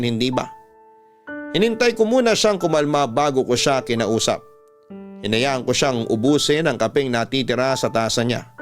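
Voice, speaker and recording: A man narrating a scripted first-person love story in the style of a classic radio drama. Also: mid-range voice.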